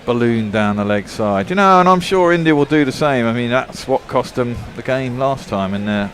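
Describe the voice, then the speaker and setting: A man's voice: medium pitch, lively intonation, moderate pace, fairly bright tone, a little hiss or hum. A man commentating on cricket in casual chat, thoughtful and loose with filler words. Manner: thoughtful, lots of "you know" fillers.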